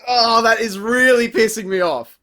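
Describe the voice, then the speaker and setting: A man's voice: very high-pitched, moderate pace, noisy background. A man giving a sarcastic, exasperated, sweary comedic review as a voice-over. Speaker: video reviewer.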